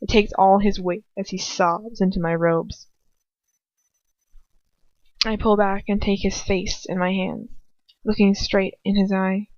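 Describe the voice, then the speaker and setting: A woman's voice: mid-range voice, level tone, unhurried, pauses often, a little mellow, dry recording. A woman narrating first-person fiction as an amateur audiobook reader, in an earnest, emotive, dramatic style. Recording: dull lo-fi home recording.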